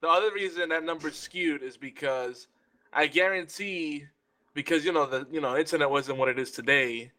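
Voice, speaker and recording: A man's voice: a little high, moderate pace, bright, forceful tone. A middle-aged man chatting casually as a panelist and player on a talk show. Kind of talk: casual chat.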